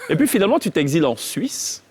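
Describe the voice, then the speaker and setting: A man hosting a studio TV interview, relaxed and friendly, with many hesitant fillers. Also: fairly high pitch, fast talker, slightly rough. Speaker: TV show host.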